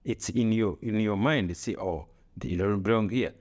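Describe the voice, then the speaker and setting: A man's voice: fairly low pitch, normal speed, a little mellow. A middle-aged man with an East African accent and non-native English, talking reflectively on a podcast, repeating phrases and posing rhetorical questions. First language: not English. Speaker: podcast co-host. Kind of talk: reflective conversational podcast talk.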